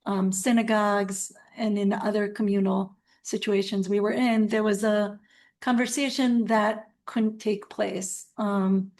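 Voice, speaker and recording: Woman, community organizer, webinar talk, thoughtful, hesitant ums, medium pitch. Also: fairly even intonation, unhurried, darkish tone. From Israel. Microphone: video call.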